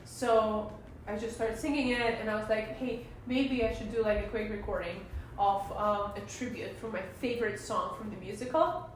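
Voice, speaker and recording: Raspy-voiced woman in an echoey room. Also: mid-range voice, fairly even intonation, normal speed, far from the mic, a little hiss or hum. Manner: chatty, emphatic, many asides. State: raspy from singing. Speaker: psychologist vlogger. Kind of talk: casual talk to camera. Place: indoors at home, sunny room. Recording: very echoey room, mic set back.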